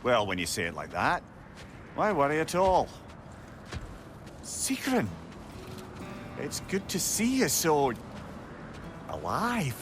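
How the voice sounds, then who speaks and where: A man's voice: a little high, huge pitch swings, slow, pauses often, over music, exaggerated voice. A man voicing a witty, affectionate older advisor and storyteller in a video game, with a wry, playful delivery. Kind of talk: cinematic video game acting.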